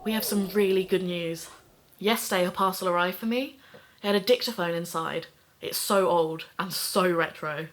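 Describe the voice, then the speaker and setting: A woman acting as an enthusiastic amateur investigator in a podcast drama. Her voice sits a little low, and her delivery is informal and eager. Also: moderate pace, natural voice. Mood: pleased. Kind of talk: audio drama monologue as podcast recording.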